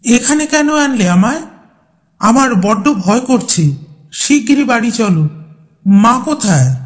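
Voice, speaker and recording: A man acting a fearful, high-voiced young man. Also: expressive, measured pace. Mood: frightened. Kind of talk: audio horror story acting.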